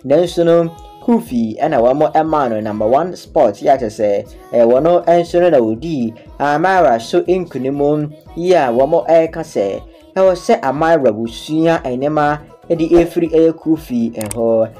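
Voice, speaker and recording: A man's voice: on the high side, a little slow, dark tone. A man with a Ghanaian accent narrating an informal recap of a TV series. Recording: music bed under the voice. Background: background music.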